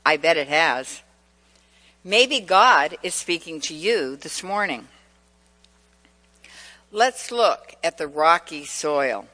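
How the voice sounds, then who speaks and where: A woman's voice: deep voice, lively intonation, takes it slowly, pauses often, bright, forceful tone. A woman with a central Massachusetts accent preaching a sermon, asking the congregation questions and telling personal stories. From New England. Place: church. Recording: long church reverb.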